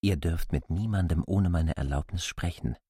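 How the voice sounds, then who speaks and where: A man's voice: deep voice, normal speed, mellow, husky, no room sound, studio quality. An actor playing a stern, commanding male stranger who gives brusque, exacting orders in a literary radio drama.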